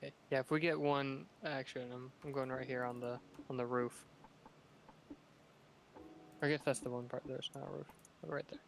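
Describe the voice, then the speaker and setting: A man's voice: medium pitch, flat delivery, moderate pace, gravelly, pauses often, a little hiss or hum. A young man playing in a tabletop game, making offhand jokes in casual chat. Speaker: player.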